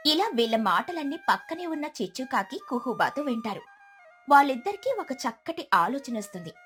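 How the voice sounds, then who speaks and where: A woman's voice: sits high, very animated, moderate pace, a bit bright. A woman narrating a scripted children's story. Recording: music behind the voice.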